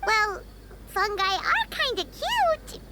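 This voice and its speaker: A woman voicing a tiny, chirpy, childlike fairy companion in an anime game, squeaky, excitable and friendly. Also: slow, very noisy, full character voice. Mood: curious, musing. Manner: bubbly, speaks of herself in third person. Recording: music behind the voice.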